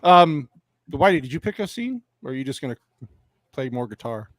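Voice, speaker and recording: A man hosting a casual podcast, excitable, swearing freely and laughing often as he talks. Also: mid-range voice, normal speed, slight background noise. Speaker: podcast host.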